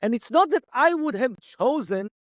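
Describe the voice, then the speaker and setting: Man, guest on a talk show, high voice, dry close sound, argues like a lecturer. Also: expressive, moderate pace, dry recording. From Israel. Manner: argumentative, lecturing, answers imagined objections. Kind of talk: analytical debate.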